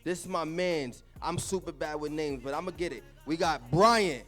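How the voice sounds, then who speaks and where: A man's voice: a little high, normal speed. A man hosting a live comedy show, hyping the crowd in loose slang. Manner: loud, slangy crowd hype. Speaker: show host. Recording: music playing behind.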